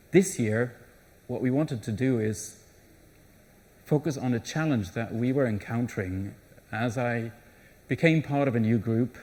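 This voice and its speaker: A man's voice: fairly low pitch, darkish tone. A middle-aged man giving a live conference talk, conversational, with frequent restarts and fillers. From Germany. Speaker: conference presenter. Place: conference session room.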